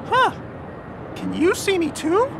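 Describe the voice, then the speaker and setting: A woman's voice: very high-pitched, wildly swooping pitch, takes it slowly, gravelly, background noise, exaggerated voice. A woman voicing a cheerful, playful little living cartoon clock in a video game. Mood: excited. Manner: exclamatory, chummy, playful catchphrases. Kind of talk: video game character acting.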